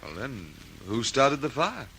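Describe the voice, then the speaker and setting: An actor playing a respectful, matter-of-fact police subordinate in an old radio detective drama. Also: male voice, medium pitch, big pitch swings, a little slow, a bit bright, breathy edge, heavily acted. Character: respectful, plain-spoken male police subordinate.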